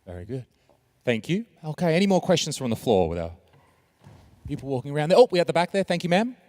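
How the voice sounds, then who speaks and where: A male moderator runs a conference Q&A in an upbeat, chatty way, cracking jokes at the quiet room. His pitch swings widely, rising and falling as he talks. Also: huge pitch swings, fast. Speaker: panel moderator.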